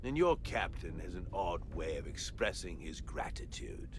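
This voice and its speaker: An actor playing an ancient, proud alien guardian in a video game, speaking in a formal, sardonic and disdainful way. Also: male voice, low-pitched, very animated, normal speed, background noise. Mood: scornful, sardonic.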